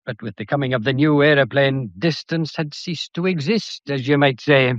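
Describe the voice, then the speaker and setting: An actor playing a methodical, courteous but probing police inspector in a detective drama, with a deliberate, pedantic and wryly theatrical way of talking. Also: male voice, mid-range voice, dry recording, lo-fi sound, lightly acted. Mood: pompous, expansive. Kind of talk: detective radio drama acting.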